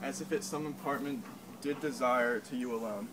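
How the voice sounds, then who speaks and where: A man plays a worried, loyal companion to a prince in amateur Shakespeare. He speaks earnestly in a middle-pitched voice, urgent in tone. The recording has clear background noise. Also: normal speed, natural voice. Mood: alarmed. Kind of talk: amateur stage reading of Shakespeare.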